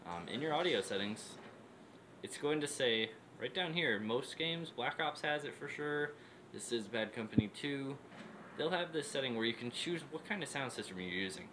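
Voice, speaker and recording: A man's voice: mid-range voice, lively intonation, moderate pace, bright, forceful tone, a little hiss or hum, low-quality recording. A man talking casually through a setup tutorial, instructional and repeating his steps for emphasis. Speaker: presenter of a gaming headset setup tutorial. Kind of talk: casual unscripted tutorial talk.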